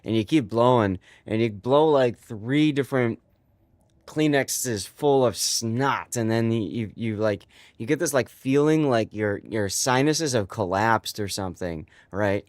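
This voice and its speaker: A man's voice: mid-range voice, a little slow, no echo. A man with a stuffy, congested voice, hosting a live stream and chatting casually with his viewers, rambling with many fillers.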